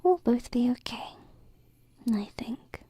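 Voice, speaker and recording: Slow, whispering alien princess voiced by a woman. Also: on the high side, lively intonation, heavily acted. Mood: tender, reassuring. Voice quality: breathy soft whisper. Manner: slow, tender, reflective, with pauses. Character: gentle, wistful alien princess stargazing with a human. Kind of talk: whispered ASMR roleplay. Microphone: close binaural microphone. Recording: close-up whisper with faint background noise.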